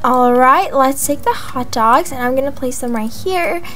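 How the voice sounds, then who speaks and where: A young woman voicing a chatty, excitable young mother in a gaming roleplay vlog, gushing and drawing out words for emphasis. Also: high-pitched, moderate pace. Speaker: video creator voicing her game character. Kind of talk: voiced life-simulation roleplay vlog narration. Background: background music.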